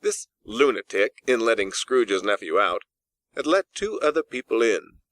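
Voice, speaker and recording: A man reads literary narration as an audiobook narrator. His voice is bright and forceful, with expressive storytelling, captured close in a very dry recording. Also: fairly low pitch, moderate pace, no echo.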